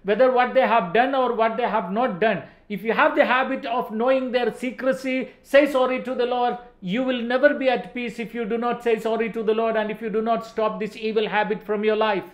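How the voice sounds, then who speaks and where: Very high-pitched Indian male preacher. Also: fairly even intonation, brisk, hardly any pauses, reverberant. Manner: emphatic, repeating phrases for stress. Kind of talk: religious motivational talk. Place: indoor studio set.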